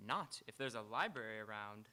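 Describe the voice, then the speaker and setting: Man acting a bright-voiced, wry, awkward writer. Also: fairly low pitch, expressive, moderate pace. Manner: hesitant, wry. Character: wry, working-class writer, awkward among the rich. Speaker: stage radio actor. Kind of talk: live radio theatre acting. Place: live on an auditorium stage.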